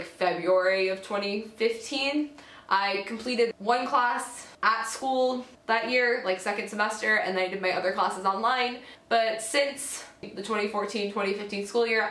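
A teenage girl vlogging casually to camera at home, a little hoarse from a cold, chatty and full of fillers and self-corrections. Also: a little high, a bit bright, roomy sound. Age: teens. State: has a cold, slightly hoarse. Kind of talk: casual talking-head vlog. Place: small indoor room at home. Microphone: camera at close range.

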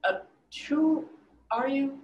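A woman's voice: high voice, takes it slowly, muffled recording. A young adult playing an awkward, smitten young man, stammering and hesitant, in a play reading. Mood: flustered. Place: indoor room, video call. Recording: roomy video call, background noise.

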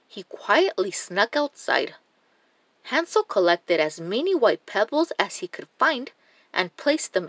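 A woman storyteller reading a children's storybook aloud in a deliberate, expressive manner. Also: mid-range voice, no echo. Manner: slow, deliberate, expressive read-aloud delivery. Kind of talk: scripted children's storybook narration.

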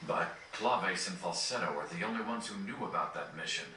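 A man playing a calm, serious young rebel leader in a video game, recorded off a TV. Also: sits low. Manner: measured, serious. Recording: roomy, distant, noisy TV capture.

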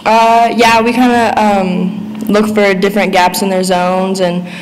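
A young woman player answers briefly and plainly, her voice flat in tone. A long hall reverb and faint background noise surround her. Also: mid-range voice, a little slow. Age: young adult. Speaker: college basketball player. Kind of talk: press conference answers.

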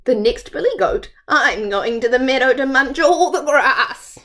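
A bony, cheeky storybook billy goat, voiced by a woman. He bleats in a highish voice with lively swings. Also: unhurried, exaggerated voice.

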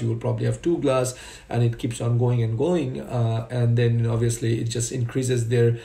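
A man's voice: medium pitch, creaky, mellow, roomy sound. A man in his forties talking casually to a webcam from a room at home, loose and conversational with many fillers and restarts. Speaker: vlogger. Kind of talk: casual spoken commentary. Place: home room with curtains, indoors.

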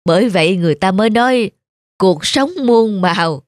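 A woman's voice: medium pitch, lively intonation, normal speed, close to an everyday voice. A woman voicing a kindly old male painter who patiently teaches a young man in a dubbed cartoon. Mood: gently teaching. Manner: patient, instructive.

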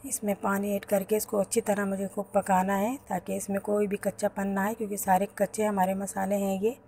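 A woman, hosting a home cooking channel and calmly talking through each step of a recipe. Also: mid-range voice, a little fast, a little hiss or hum. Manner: explains each step plainly to viewers. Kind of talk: home cooking tutorial voice-over. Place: home kitchen.

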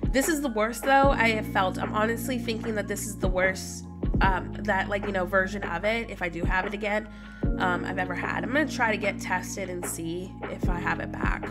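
A woman's voice: medium pitch, fairly even intonation, moderate pace. Stuffy sick woman in her 30s streaming from home, talks casually to chat, music playing. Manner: chatty, greets viewers by name. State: stuffy from a cold. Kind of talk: casual livestream chat while gaming. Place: home room, indoors.

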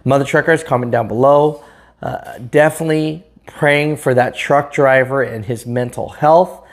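A man's voice: medium pitch, fairly even intonation. A man in his thirties hosting a trucking channel, talking earnestly and casually straight to camera indoors. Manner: slow, earnest, talks straight to camera with hand gestures. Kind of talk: casual vlog commentary. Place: indoors in front of a green screen.

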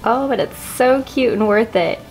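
A woman's voice: a little high, unhurried, gravelly, darkish tone, faint music behind, low-quality recording. A woman in her forties hosting a chatty home decor vlog, talking through a table setting in her dining room with a camera across the table. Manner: chatty, bubbly, self-teasing. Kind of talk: casual how-to vlog.

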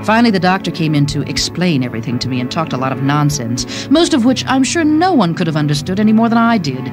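A woman's voice: fairly low pitch, big pitch swings, quick pace, music in the background. An actress playing a doting, chatty young mother in a radio drama, confiding and gushing expressively. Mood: confiding, weary.

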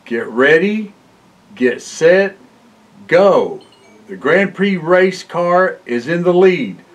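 An older man reading a children's picture book aloud in an expressive way, with exclamations and short asides. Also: sits high, takes it slowly. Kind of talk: storybook read-aloud for children. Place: indoor library room, seated by bookshelves.

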